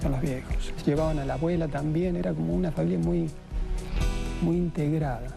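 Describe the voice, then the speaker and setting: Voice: medium pitch, fairly even intonation, fairly quick, creaky. A person, remembering a family member in an interview, hesitant with many restarts. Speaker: interviewee, relative. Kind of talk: reflective interview. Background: music.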